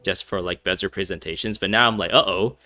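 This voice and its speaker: A man's voice: low-pitched, steady tone, fast, bright, forceful tone, no room sound. A young man giving casual let's-play commentary, rambling and hesitant in a chuckly way, close to the mic. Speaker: gaming YouTuber. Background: faint game music.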